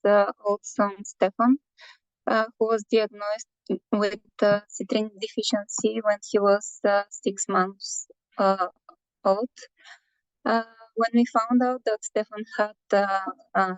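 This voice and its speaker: A woman with a Bulgarian accent, a mother, speaking English haltingly, with many fillers. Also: mid-range voice, flat delivery, clear, resonant tone, no echo, rough recording. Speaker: parent of a patient.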